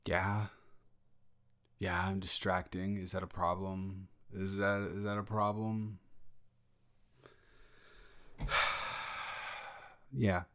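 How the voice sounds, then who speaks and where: A man playing a sheepish, evasive young male roommate in an improvised audio roleplay, halting and rambling as he talks. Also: low-pitched, flat delivery, fairly bright tone, full character voice. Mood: subdued, evasive. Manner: halting, rambling, trailing off into restarts.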